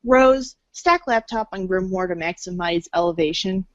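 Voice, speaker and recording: A woman's voice: on the low side, normal speed, dry recording. A woman narrating a read-along storybook in a fan readers' theater. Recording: dull lo-fi audio.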